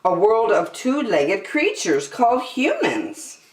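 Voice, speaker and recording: A middle-aged woman reading a picture book aloud expressively, as a children's story time host. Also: mid-range voice. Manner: animated, deliberate. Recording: slightly echoey room.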